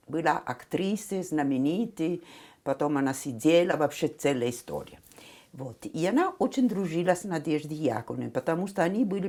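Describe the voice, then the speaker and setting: An older woman in her seventies, Italian by birth, speaks with an Italian accent and a low, gravelly voice. Her pitch swings widely as she tells her memories. She laughs often and mimics the people she quotes. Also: darkish tone. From Italy. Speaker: guest. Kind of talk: reminiscing conversation.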